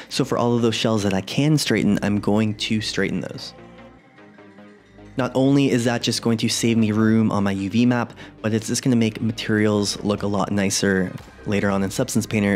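Relaxed low-voiced man narrating a tutorial. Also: a little fast, faint music behind. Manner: relaxed, conversational explaining. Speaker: 3D artist tutorial host.

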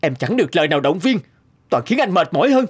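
A man's voice: on the high side, sing-song, fast talker, slight background noise. A man voicing a weary, aggrieved young husband and father in a cartoon, grumbling and complaining. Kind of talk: cartoon dialogue dubbing in Vietnamese.

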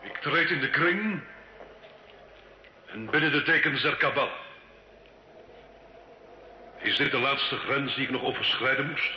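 Volatile, sharp-voiced proud nobleman. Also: medium pitch, unhurried, pauses often. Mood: solemn. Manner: haughty, theatrical, formal. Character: proud, volatile nobleman dabbling in devil worship. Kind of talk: old Dutch radio drama acting. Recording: dull, hissy old radio recording, roomy.

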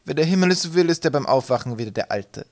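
A man's voice: on the high side, lively intonation, fast, pitched above the performer's own voice. A man voicing a sly, obliging pirate ship's mate with a falsely innocent manner in an audiobook. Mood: reporting. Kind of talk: audiobook character acting.

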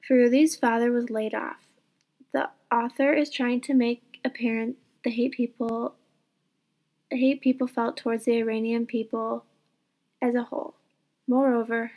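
A young woman reading a student book report aloud in a steady, careful way. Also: on the high side, moderate pace. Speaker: student presenter.

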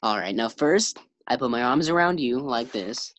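A woman's voice: extremely low pitch, lively intonation, moderate pace. A woman playing an eager, bumbling young husband in a 1940s-style radio comedy. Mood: playful. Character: young husband George, eager bank clerk.

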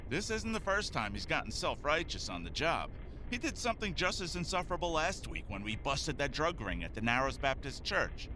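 An actor playing a smug, disapproving police officer with a derisive way of talking in an audio drama. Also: male voice, fairly high pitch, very animated, a little fast. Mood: scornful. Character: scornful male police officer, smugly disapproving. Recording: noisy background.

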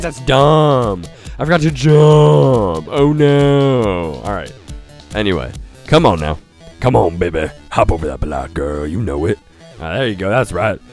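A young man with a cold and a stuffy voice, giving excited let's-play commentary on a game and shouting at it now and then. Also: medium pitch. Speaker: gaming YouTuber.

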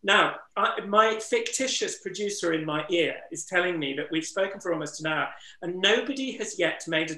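A man with a fairly high, bright, ringing voice talks earnestly and reflectively over a video call, his voice a little strained from disuse. Room echo hangs around his words. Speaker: interviewer and wildlife trust ambassador. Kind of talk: webinar conversation. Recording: roomy echo.

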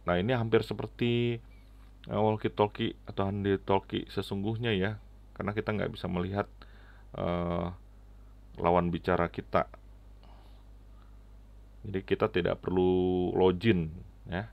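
A middle-aged man giving a casual, halting, step-by-step app walkthrough into a headset mic. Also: low-pitched, little pitch movement, vocal fry. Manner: halting, step-by-step explaining with frequent "ya" tags. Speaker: app reviewer and tutorial presenter. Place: indoors at a computer, keyboard clicks. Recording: slightly dull, lo-fi audio.

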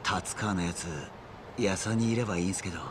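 An actor playing an earnest young yakuza underling in a video game, respectful yet wry, with rough clipped deference. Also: male voice, low-pitched, fairly even intonation, a little fast, noisy background, natural voice. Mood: wary. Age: young adult. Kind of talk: video game cutscene acting.

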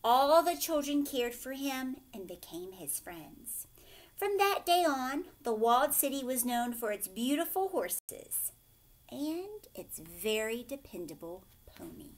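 A woman in her thirties reading a children's picture book aloud expressively, pausing to explain and ask questions like a teacher. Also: sits high, very animated. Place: furnished living room, indoors.

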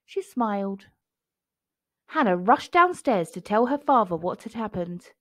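A woman narrating a children's picture book expressively, phrasing clearly for young listeners. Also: mid-range voice, sing-song, a little slow, no echo, studio quality.

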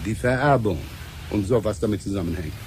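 An actor playing an over-polite, hesitant scientist with a foreign accent and broken syntax in a 1970s German radio drama. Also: male voice, fairly low pitch, brisk, darkish tone, lightly acted. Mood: hesitant, self-effacing. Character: polite, hesitant, fawning foreign scientist and small-time inventor. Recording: 1970s radio play, faint noisy ambience.

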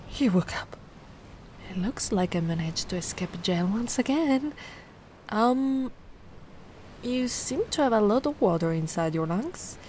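A woman from Italy voicing a cute, kindly, anxious giant mermaid in an ASMR roleplay, flustered and softly cooing. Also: mid-range voice, lively intonation, unhurried, pauses often, a little hiss or hum, close to an everyday voice, low-quality recording. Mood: soothing, relieved.

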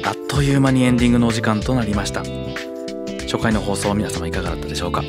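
A man's voice: lowish voice, very fast, loud music behind the voice. A man with a Kansai Japanese accent hosting a radio show in a casual, chatty style.

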